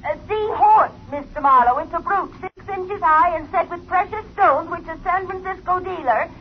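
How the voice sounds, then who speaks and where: An actress playing an imperious, ailing older widow who gives brisk, clipped orders, in a 1950s radio drama. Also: female voice, very high-pitched, slight background noise. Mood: commanding. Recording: dull lo-fi 1950s radio broadcast.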